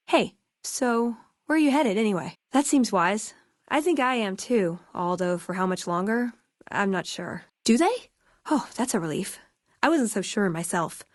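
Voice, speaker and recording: Game companion role: actress as young American woman, sardonic and friendly, conversational, mid-pitched, close dry studio sound. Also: no echo, natural voice. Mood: curious, relieved. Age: young adult. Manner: sardonic, playful, casual. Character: wry, friendly young woman scribe in a wasteland. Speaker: video game companion character. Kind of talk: scripted game dialogue, conversational.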